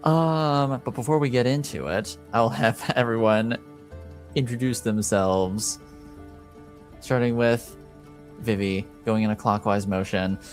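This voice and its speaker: A man hosting a tabletop roleplaying stream as game master, speaking casually and haltingly with long pauses. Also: medium pitch, fairly even intonation, faint music behind.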